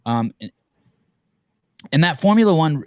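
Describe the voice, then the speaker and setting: A man's voice: fairly high pitch, big pitch swings, unhurried, darkish tone, no room sound, clean studio sound. A man hosting a podcast interview in casual chat, rambling with many restarts and casual swearing. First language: Armenian. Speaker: podcast host.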